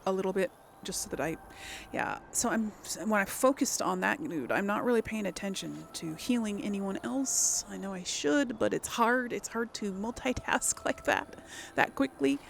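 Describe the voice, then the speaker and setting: A woman's voice: medium pitch, vocal fry, a little hiss or hum. A woman giving casual let's play commentary, hesitantly thinking aloud as she plays. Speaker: gaming video host.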